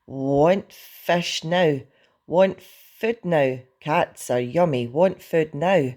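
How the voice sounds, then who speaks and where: A man's voice: on the high side, big pitch swings, very slow, heavily acted. A man voicing a small, greedy young dragon who demands things in blunt, clipped phrases. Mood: demanding, shouting. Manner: blunt, clipped, insistent baby-talk phrases.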